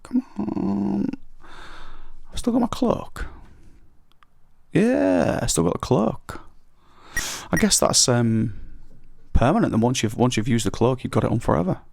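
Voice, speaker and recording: A man with a croaky voice, giving rambling, self-deprecating commentary while playing an old computer game. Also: medium pitch, big pitch swings, unhurried, pauses often, a little mellow, dry recording, clean studio sound. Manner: rambling, self-deprecating, hesitant with many ums. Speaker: retro gaming YouTuber. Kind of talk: casual gameplay commentary.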